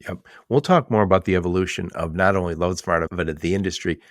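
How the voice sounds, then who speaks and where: A man's voice: deep voice, fast talker, no echo, studio quality. A man hosting a business podcast, chatting and asking short follow-up questions in a row. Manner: conversational, generalizing, asks short stacked questions. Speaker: podcast host.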